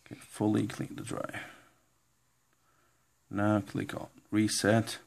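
A man giving an informal step-by-step computer tutorial in a halting way, reading out text as he goes. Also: on the low side, completely flat delivery, creaky, slight background noise. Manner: halting, instructional, reads out on-screen text. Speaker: tutorial presenter. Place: indoor room, filming a laptop screen.

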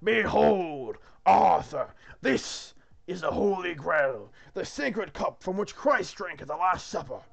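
Guy doing god, bossy and grand, kinda fed up, higher male voice, big swoops in pitch. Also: expressive, takes it slowly, vocal fry, soft background music, exaggerated voice. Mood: solemn, grand. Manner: commanding, impatient, declamatory. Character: booming, irritable God handing down a sacred quest.

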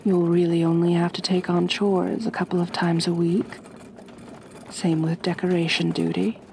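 A woman playing a gentle, doting aristocratic vampire mistress in an ASMR roleplay, speaking softly and breathily with genteel, soothing command. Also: fairly low pitch, level tone, moderate pace, creaky, slight background noise. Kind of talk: ASMR roleplay, intimate acting.

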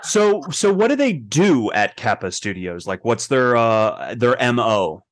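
A man in his twenties talks casually as a co-host over a video call. His medium-pitched voice is animated, with lively swings in intonation. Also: a little slow. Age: 20s. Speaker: podcast co-host. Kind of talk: casual chat. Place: indoor room.

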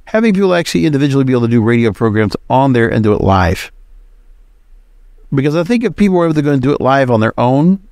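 A man with a medium-pitched voice delivers solo podcast commentary. He talks conversationally and emphatically, like an informal host sharing his opinions. The sound is dry, with very little room in it. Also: big pitch swings, fast, a little mellow, no echo.

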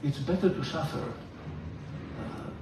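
An older man, a priest, giving a theology lecture in an animated, emphatic way, filmed from across a large room. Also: slow pace, noisy background. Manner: animated, gesturing, emphatic rises in pitch. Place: large room with hard walls. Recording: roomy hall echo, from far off.

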